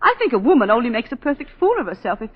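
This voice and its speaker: Casting: distraught colonial planter's wife, high-pitched, theatrical and halting, old radio play with long reverb. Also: female voice, big pitch swings, breathy edge. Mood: indignant. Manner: halting, emotive, theatrical storytelling. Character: distraught planter's wife recounting a killing, self-possessed and indignant. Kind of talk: 1940s radio drama acting. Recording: dull 1940s radio broadcast, long reverb.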